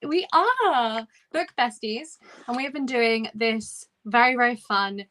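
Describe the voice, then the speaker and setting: Woman doing a chatty book livestream, really bright voice, informal and loose. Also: on the high side, lively intonation, a little slow. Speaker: host of a book discussion live show. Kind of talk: casual book chat on a livestream.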